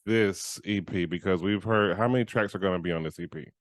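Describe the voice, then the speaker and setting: A man's voice: deep voice, brisk, dry recording, clean studio sound. A man co-hosting a podcast, easygoing in casual interview chat, often chuckling. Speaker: podcast co-host.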